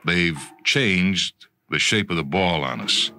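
A man's voice: sits low, animated, takes it slowly, bright, forceful tone, faint music behind. A man narrating a short story in the first person, with a conversational, emphatic delivery.

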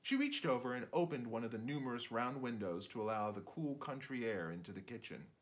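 A man in his fifties narrating a children's book aloud in an expressive, theatrical way. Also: mid-range voice, a little fast, low-quality recording. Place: indoors, book-lined room.

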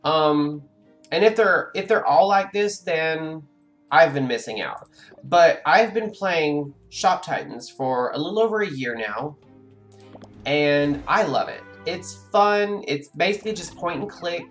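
A man's voice: fairly high pitch, expressive, unhurried, a bit bright, soft background music. Congested man chatting over gameplay. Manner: rambling, many ums and restarts. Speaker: gaming streamer. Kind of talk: casual live-stream chat over gameplay. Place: home room with a webcam.

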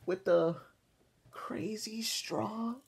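Man putting on a whiny, high-pitched little girl. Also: creaky, husky, heavily acted. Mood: whiny, pleading.

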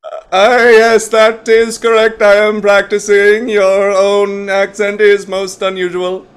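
Eager non-human pretending to be human, high clear voice, super formal and literal, audio comedy. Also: male voice, monotone, takes it slowly. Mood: nervously formal. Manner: formal, pedantic, literal-minded. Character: eager, stilted non-human newcomer posing as a human. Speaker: new pupil in an online acting class. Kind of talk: comedy audio drama acting.

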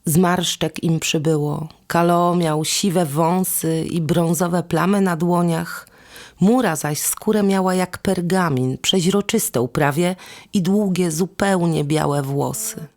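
A woman narrating a folk tale as a storyteller, with measured, deliberate phrasing and instrumental music behind her. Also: on the low side. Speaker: storyteller and host of a podcast series.

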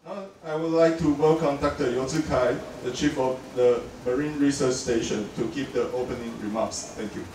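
A man hosting a symposium, giving an opening welcome speech, formal but halting, with fillers. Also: mid-range voice, fairly even intonation, a crowd in the background. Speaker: event host. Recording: echoey hall.

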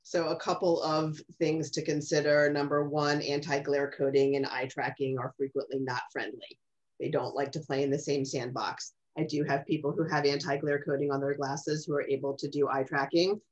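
Middle-aged lady specialist on a webinar, strong low voice, pretty even, bit dull video call sound. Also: normal speed. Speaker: speech-language specialist on a panel. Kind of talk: webinar question-and-answer. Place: small office, indoors.

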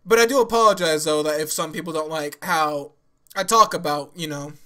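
A man's voice: on the high side, brisk, pushed and bright. A young man with a stuffy nose and sore throat, chatting casually and laughing as he hosts an anime reaction from his small bedroom on a headset mic. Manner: rambling, laughing, restarts a lot. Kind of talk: casual chat to camera. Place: small bedroom with yellow walls.

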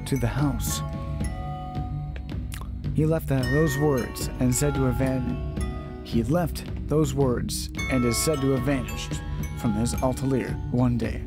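A man reading a visual novel aloud as dramatic narration, often stumbling and rereading his lines. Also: medium pitch.

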